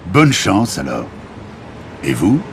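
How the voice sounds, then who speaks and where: An actor playing an upbeat television reporter in a scripted language-lesson report. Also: male voice, on the low side, huge pitch swings, slow pace, creaky, slight background noise, heavily acted. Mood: upbeat, announcing. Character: upbeat male television reporter interviewing young festival-goers.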